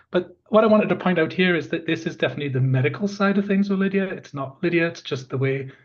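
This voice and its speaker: Croaky man giving a webinar talk. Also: fairly high pitch, fast talker. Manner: conversational and earnest, with many fillers. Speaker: park planning manager presenting a webinar. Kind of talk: webinar presentation. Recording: dull lo-fi audio.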